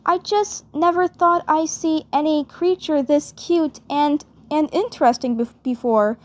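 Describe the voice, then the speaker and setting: A woman playing a bubbly, affectionate girl, speaking haltingly and repeating her words and phrases. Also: high-pitched, darkish tone. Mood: reassuring, fond.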